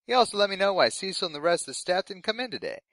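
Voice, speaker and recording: Young male radio intern, played by a man: polite, eager, nervous, lighter pitch, fiction podcast newscast, dry sound. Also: big pitch swings, very fast, fairly bright tone, no room sound, full character voice. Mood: eager, cheerful. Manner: polite, earnest delivery of absurd news. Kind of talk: scripted fiction podcast in community radio broadcast manner.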